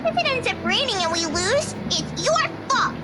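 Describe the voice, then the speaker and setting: A woman's voice: very high-pitched, big pitch swings, normal speed. A woman voicing an innocent, curious, earnest little girl in a visual novel, plain-spoken and childlike, with music behind her. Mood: matter-of-fact. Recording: loud music behind the dialogue.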